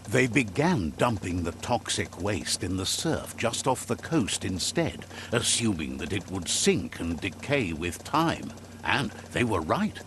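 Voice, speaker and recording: Wry fable storyteller, low voice, very animated intonation, theatrical, deliberate, video game narration, noisy background. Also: male voice. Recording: clear background noise under the voice. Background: game ambience and noise.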